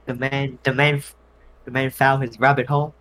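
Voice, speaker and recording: A man's voice: medium pitch, flat delivery, moderate pace, fairly bright tone. Playful man from Vietnam, joking. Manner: playful, jokey banter. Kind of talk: casual chat.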